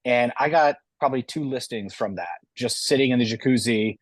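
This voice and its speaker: A man's voice: medium pitch, fairly quick, no echo. A man, a real estate agent, telling a casual story on a video call, stammering a little at the start of thoughts.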